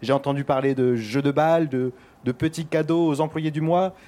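Man acting a pompous, high-pitched bossy minister. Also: fairly quick, mellow, natural voice. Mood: smooth-talking, pompous. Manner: pompous, slangy, commanding. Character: bossy blustering government minister, slangy mock-gangster boss. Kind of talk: French satirical audio fiction acting.

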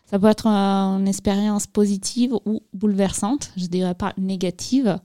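A woman's voice: mid-range voice, steady tone, moderate pace, warm tone, no room sound, clean studio sound. A woman speaking as a guest on a radio show, hesitant, with many fillers and restarts. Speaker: radio guest, youth program presenter. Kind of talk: casual radio interview. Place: radio studio.

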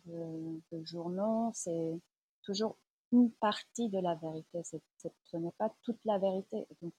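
Slow, husky woman with an Iranian accent. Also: on the low side, dark tone, rough recording. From Iran. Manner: hesitant, searching for words. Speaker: journalist being interviewed. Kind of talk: reflective spoken interview testimony.

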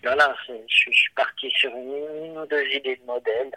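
Swiss French man, very bright voice, dry close sound, hesitant casual interviewee. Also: medium pitch, steady tone, normal speed, dry recording, poor audio. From Switzerland. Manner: hesitant, many fillers and restarts. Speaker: watchmaker and guest.

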